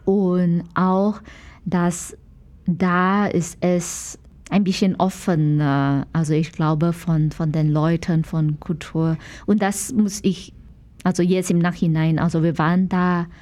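A woman with a Malaysian accent talks at a slow, unhurried pace in a soft-edged, mellow and slightly low voice. She is a non-native speaker, a native of English, Mandarin and Malay, and hesitates as she looks for the right words in an interview. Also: level tone. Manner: hesitant, searching for words in a learned language. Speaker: guest, dancer and dance teacher. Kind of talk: conversational interview answers.

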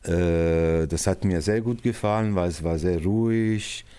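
A man in his sixties from Naples with an Italian accent, giving halting, thoughtful interview answers as an artistic director. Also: low-pitched, warm tone, clean tone. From Naples, Italy. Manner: halting, searching for words, frequent pauses.

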